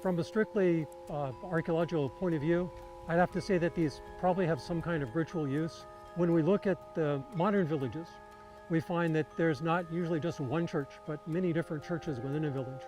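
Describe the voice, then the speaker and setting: A man, an archaeologist, speaking in a documentary interview, calm and explanatory. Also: fairly high pitch. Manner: measured, explanatory. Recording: documentary clip with faint music.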